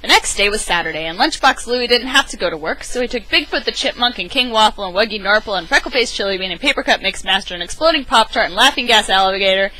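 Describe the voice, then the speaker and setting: A woman's voice: mid-range voice, animated, fast, hardly any pauses, sharp tone, low-quality recording. A woman reading a children's story aloud in a dramatic narrating style. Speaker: storybook reader. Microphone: webcam.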